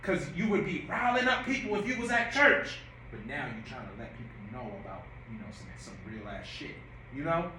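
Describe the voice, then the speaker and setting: A man's voice: a little high, lively intonation, fairly quick, bright, forceful tone, distant mic, slight background noise. A man directing and coaching actors in a rehearsal, giving notes and acting out lines to demonstrate them. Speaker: director and writer. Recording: echoey room.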